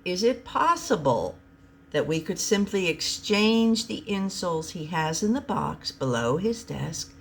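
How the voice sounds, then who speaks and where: Woman reading as computer analyst, male, awkward, hesitant, unhurried, comic story. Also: on the low side, very animated, creaky, slight background noise, full character voice. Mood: tentative.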